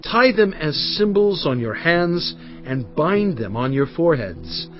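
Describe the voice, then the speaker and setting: An actor playing a solemn prophet in dramatized scripture, exhorting his people in earnest, measured oratory with weighty pauses. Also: fairly high pitch, sing-song. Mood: solemn, urging. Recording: dull studio track over faint music.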